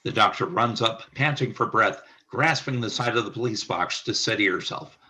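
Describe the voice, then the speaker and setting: Bright-voiced male radio play narrator. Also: on the low side, normal speed.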